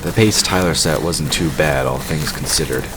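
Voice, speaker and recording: A man's voice: a bass voice, normal speed, noisy background. A man reading scripted narration for a fantasy audio drama.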